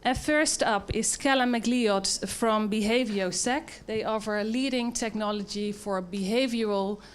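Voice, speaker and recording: A woman's voice: medium pitch, measured pace. A woman moderating a live event as host, introducing speakers and taking questions.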